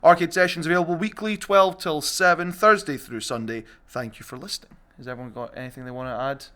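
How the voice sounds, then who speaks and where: A man's voice: fairly high pitch, steady tone, quick pace, sharp tone. A man hosting a casual group podcast, chatting and reading out announcements in a jokey, self-deprecating way. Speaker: podcast host.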